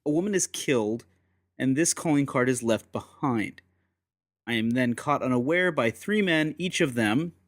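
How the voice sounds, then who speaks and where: An actor playing a ruthless gentleman secret agent, speaking with deliberate, courteous menace in a dramatised audiobook. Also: mid-range voice, lively intonation, no room sound, clean studio sound, natural voice. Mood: controlled anger.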